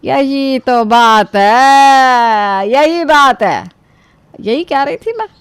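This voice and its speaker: A woman playing a middle-aged, self-pitying, sarcastic mother-in-law in an animated story, scolding and complaining dramatically. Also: high voice, drawn-out words, clean tone, no echo, exaggerated voice. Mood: aggrieved, sarcastic. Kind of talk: animated story character dialogue.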